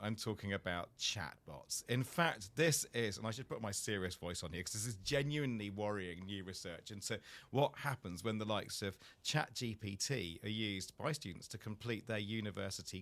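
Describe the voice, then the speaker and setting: A man hosting a tech podcast from a small studio, with a slightly croaky, low, gravelly voice and a chatty, jokey manner. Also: fairly bright tone. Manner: chatty and jokey, with playful asides. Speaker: podcast host.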